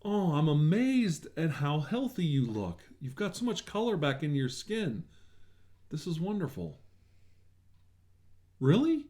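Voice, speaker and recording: A man's voice: on the high side, sing-song, unhurried, long pauses, mellow. A man doing an ASMR roleplay as a medical examiner, talking in a reassuring, attentive bedside manner.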